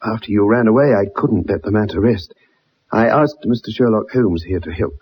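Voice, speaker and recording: An actor playing an earnest, loyal young army friend, concerned, in a 1960s radio play. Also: male voice, on the low side, normal speed, husky. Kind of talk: 1960s radio drama acting. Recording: muffled, dull old radio recording.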